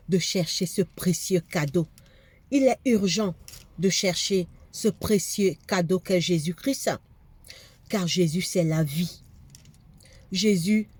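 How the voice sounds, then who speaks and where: A woman's voice: lowish voice, slow, pauses often, darkish tone, husky, no room sound. A woman with a cold preaching a fervent sermon, repeating phrases for emphasis. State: has a cold, congested.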